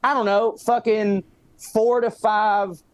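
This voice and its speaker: A man's voice: high-pitched, flat delivery, takes it slowly, clear, resonant tone, no echo. A man with a Southern US accent chatting casually as a podcast co-host, telling rambling, profane stories in a self-justifying way. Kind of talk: casual comedic chat.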